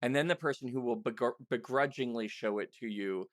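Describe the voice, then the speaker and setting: A man's voice: mid-range voice, brisk, no room sound. A man with a mid-range voice hosts a casual conversation in a very dry recording. He talks in an anecdotal, informal way, often slipping into quoted lines to make his point. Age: 30s or older. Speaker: podcast host interviewer.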